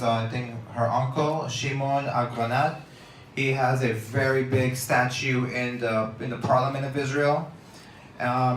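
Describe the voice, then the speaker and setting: A man in casual radio chat as a guest, rambling with many fillers and restarts. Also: medium pitch. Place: outdoors on a beach. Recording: roomy, distant mic, faint noise.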